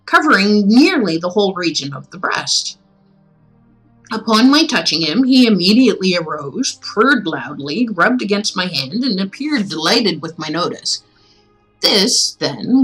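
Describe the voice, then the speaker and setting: A woman's voice: medium pitch, low-quality recording. A woman hosting a livestream, reading stories aloud expressively and chatting casually in between. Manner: measured, expressive reading; playful, chatty asides. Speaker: livestream host reading classic tales aloud. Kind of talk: story reading aloud with casual chat asides.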